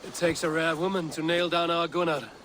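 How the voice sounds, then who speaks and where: A man playing a dry-witted, steady Viking chieftain in video game dialogue. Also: on the high side, fairly quick, slight background noise. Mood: wry, friendly.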